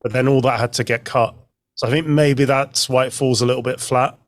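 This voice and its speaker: A man's voice: medium pitch, fairly even intonation, brisk, no room sound. A man speaking as a podcast guest, explaining details in an informal, conversational style. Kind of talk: informal fan discussion.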